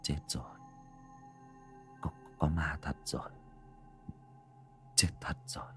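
A man voicing a weary, shaken old father who mutters and stammers in a low voice. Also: steady tone, slow pace, bright, forceful tone, soft background music, exaggerated voice. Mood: shaken muttering.

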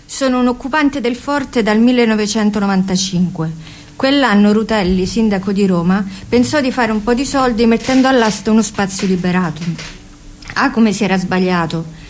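A woman's voice: medium pitch, normal speed, a little hiss or hum. A woman reading a scripted first-person account for radio in a storytelling manner. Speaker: reader.

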